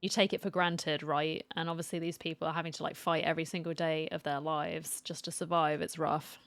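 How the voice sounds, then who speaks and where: A woman with a slightly low voice gives casual game commentary as a streamer. The sound is close and very dry, as on a streaming mic. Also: level tone, fairly quick, no echo. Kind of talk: casual reaction commentary, reading narration aloud.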